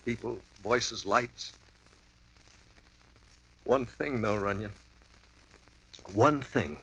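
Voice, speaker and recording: A man's voice: fairly low pitch, extremely slow, breathy edge, full character voice, rough recording. An actor playing a rattled, hungover man recounting a strange night in a 1950s radio drama. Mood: dazed. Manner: wavering from mumbling to frantic. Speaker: client telling a story.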